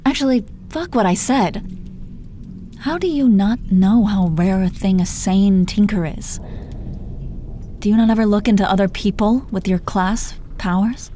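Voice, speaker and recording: A woman's voice: mid-range voice, a little slow, dark tone, close to an everyday voice. A woman playing a teasing, protective female supervillain in her thirties in an intimate roleplay audio drama, foul-mouthed but coaxing, full of pet names. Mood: indignant, fond. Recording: noticeable background noise.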